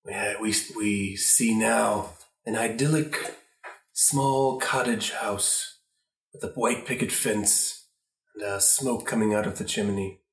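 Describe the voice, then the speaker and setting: A man's voice: fairly low pitch, steady tone, slow pace. A man narrating an improvised radio play. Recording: echoey room recording.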